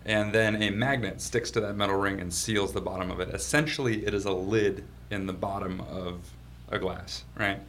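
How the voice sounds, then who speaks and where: A man's voice: fairly low pitch, steady tone, normal speed, fairly bright tone, a little hiss or hum. A man from Washington state, a company founder being interviewed in his office, telling his story in a laid-back way and laughing easily. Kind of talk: casual storytelling.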